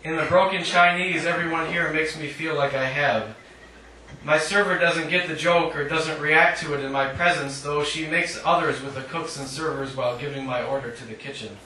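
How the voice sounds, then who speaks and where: A man giving a live poetry reading in a measured, declamatory way with dry humour, adding short spoken asides. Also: fairly high pitch, pushed and bright. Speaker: poet reading own work. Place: indoor literary cafe reading. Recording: echoey live room, distant mic, faint noise.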